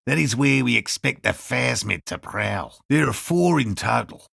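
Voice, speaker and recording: An actor playing an earnest, stubbornly hopeful elderly male cryptozoologist in a video game, speaking with a gravelly rasp and a formal, pedantic manner. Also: mid-range voice, expressive, a little slow, fairly bright tone, no room sound, clean studio sound, exaggerated voice. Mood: polite, instructive. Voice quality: gravelly, raspy. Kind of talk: video game character dialogue.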